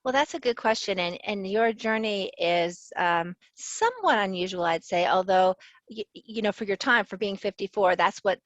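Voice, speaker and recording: Low-voiced woman professor, dull dry sound. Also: a bit bright, no echo. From American South. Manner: earnest, thoughtful, laughs easily, restarts phrases. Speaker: sociology professor and author, guest. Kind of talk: interview answers.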